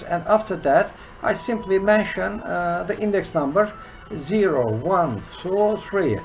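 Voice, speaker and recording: Halting Turkish-accented older man teaching code. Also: on the high side, gravelly, low-quality recording. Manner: halting, with many uh fillers. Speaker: programming teacher. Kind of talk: screen-recorded coding lesson. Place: small room indoors.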